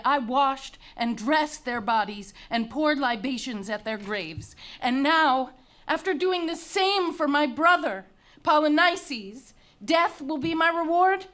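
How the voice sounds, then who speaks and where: A woman's voice: high-pitched, animated, a little slow, a bit bright. A woman playing a proud, grieving, condemned young princess in a dramatic reading of Greek tragedy, declaiming with anguish. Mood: mournful, despairing.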